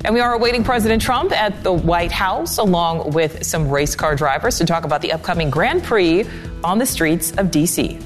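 A woman's voice: fairly low pitch, animated, over music. Polished woman news anchor. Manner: brisk, polished. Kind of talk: news presenting.

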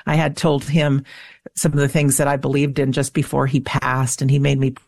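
A middle-aged woman with a low voice and noticeable vocal fry. She talks in an earnest, emphatic way, like a talkative interview guest, and the recording is dry and close. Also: flat delivery, moderate pace, a little mellow, no echo. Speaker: interview guest, afterlife show host and author. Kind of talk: conversational radio interview, personal storytelling.

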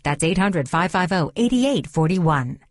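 Measured woman reading ad details. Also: fairly low pitch, lively intonation, no room sound, clean studio sound. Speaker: ad announcer. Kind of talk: scripted radio ad read.

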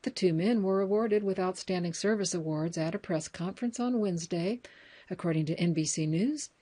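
An American woman reading news articles and book excerpts aloud as a reader, in a measured, plain announcer-like way. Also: lowish voice, warm tone.

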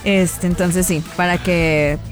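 A woman's voice: on the low side, steady tone, slow pace, faint music behind. A woman in her thirties with a Mexican Spanish accent, co-hosting a radio show in upbeat, chatty banter. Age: 30s. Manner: chatty, upbeat, lists details. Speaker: radio co-host.

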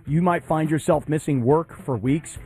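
A man's voice: on the high side, normal speed, a little mellow, breathy edge, faint music behind. Blunt, emphatic male radio co-host. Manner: blunt, emphatic, crude asides. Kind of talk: morning sports radio debate.

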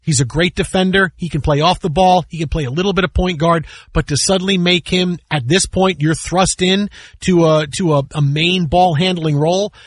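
A man's voice: high-pitched, fairly even intonation, moderate pace, no room sound, studio quality. A man hosting sports talk radio, giving casual, opinionated commentary in an emphatic way with repetition and rhetorical questions. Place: radio studio.

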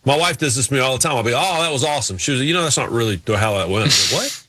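A man's voice: mid-range voice, lively intonation, racing, sharp tone, breathy edge, dry recording. A man co-hosting a casual sports talk show, rambling through self-deprecating anecdotes. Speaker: co-host.

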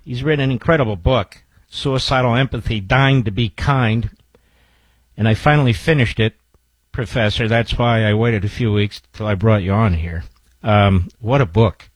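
An older man hosts a talk radio show, his voice husky and a touch grainy. He speaks emphatically, leaning hard into his words. The sound is dry and close, with no room around it. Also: lowish voice, dry recording, low-quality recording.

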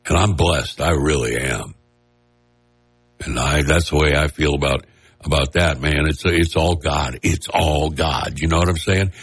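A man's voice: extremely low pitch, unhurried, a bit bright, husky. A man hosting a radio talk show, chatting casually in a nasal, joking, rambling way. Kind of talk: casual on-air chat. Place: radio studio. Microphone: studio mic with headphones.

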